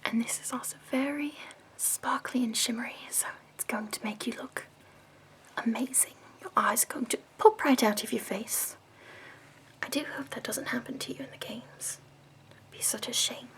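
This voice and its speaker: Prim fussy capital makeup lady, as a woman puts her on, chirpy high whispers, asmr roleplay. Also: moderate pace, a bit bright. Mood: prim, soothing. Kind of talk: soft-spoken ASMR roleplay.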